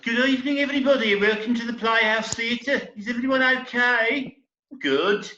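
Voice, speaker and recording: Earnest, slightly flustered theatre compere, acted by a man, bright and high-pitched, roomy lo-fi sound. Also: moderate pace. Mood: grand, welcoming. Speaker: amateur actor in a remote group reading. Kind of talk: comic stage-play reading, addressing the audience. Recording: echoey, dull internet call recording.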